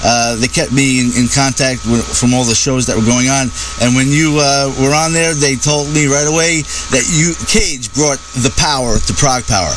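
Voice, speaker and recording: A man's voice: mid-range voice, normal speed, few pauses, music in the background, poor audio. A man hosting a radio show, interviewing a singer with fan-like enthusiasm. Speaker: radio host. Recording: clear background noise.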